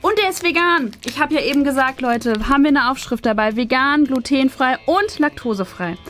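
A woman speaks in a fairly high voice. She presents things to camera in a casual, chatty unboxing style, with faint music behind her in a small room. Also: animated, normal speed, fairly bright tone. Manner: chatty, presenting items while handling them. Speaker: host of a craft and unboxing channel. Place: small decorated room indoors. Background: background music.

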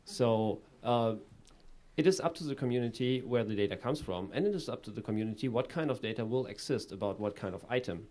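A man in his thirties answering an audience question on a conference panel, measured and full of small fillers, into a table microphone in a conference room. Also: mid-range voice, expressive, darkish tone. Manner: measured, with frequent fillers and restarts. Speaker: panelist presenting a project.

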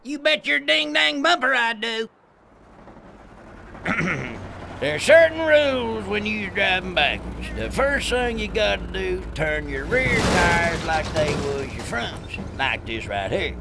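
An actor voicing a goofy, good-natured hillbilly tow truck in an animated game, with a drawling Southern US accent. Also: male voice, high voice, slow, vocal fry, noisy background, full character voice. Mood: eager. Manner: folksy, drawling, simple-minded. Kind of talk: animated game cutscene acting.

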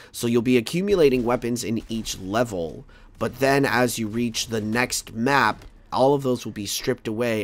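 Male game reviewer, casual and rambling, a bit rough from a cold, average pitch. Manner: rambling, stammering, laughs easily. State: fighting a cold.

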